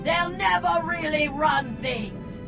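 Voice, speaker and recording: An actress playing a bold rebel queen and crime boss, giving a grand, emphatic rallying speech in a game. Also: high voice. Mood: proud, measured. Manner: emphatic, theatrical, deliberate pauses. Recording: music under the voice.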